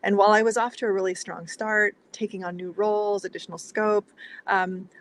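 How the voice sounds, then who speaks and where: Female professional panelist, from Mexico, medium pitch, composed, faint noise behind the voice. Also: steady tone, brisk. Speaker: panelist, strategic planning leader. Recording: noisy background on call.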